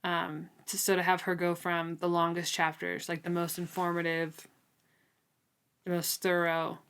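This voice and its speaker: A woman's voice: fairly low pitch, monotone, a little slow, a bit bright, clear, resonant tone. A woman co-hosting a book podcast, talking in a casual discussion style. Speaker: podcast co-host.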